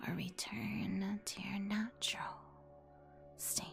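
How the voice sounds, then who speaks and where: A woman whispering a guided ASMR meditation in a soothing, deliberate, instructional manner. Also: mid-range voice, level tone, vocal fry. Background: music.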